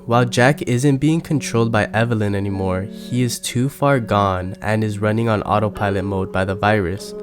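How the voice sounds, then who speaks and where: Lowish-voiced man narrating over music. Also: steady tone, normal speed, few pauses. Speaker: video game lore narrator. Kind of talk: scripted narration. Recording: game music under the voice.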